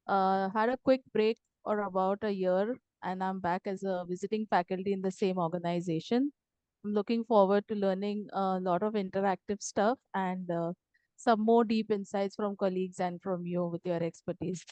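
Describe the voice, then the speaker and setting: A woman's voice: mid-range voice, steady tone, normal speed, warm tone, dry recording, dull audio. A woman English teacher introducing herself politely on a video call. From India. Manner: polite, formal.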